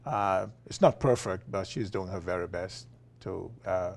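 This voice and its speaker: A man with a Liberian accent, a philosophy professor being interviewed, talking in a relaxed way with frequent fillers and chuckles. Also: sits low, unhurried, creaky, slight background noise. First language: Liberian English. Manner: chuckling, many uh fillers and restarts. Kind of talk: conversational interview.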